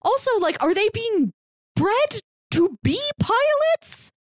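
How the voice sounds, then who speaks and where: Animated woman podcaster, dry close sound. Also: very high voice, takes it slowly, dry recording. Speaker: podcast co-host reviewing manga. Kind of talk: casual book discussion.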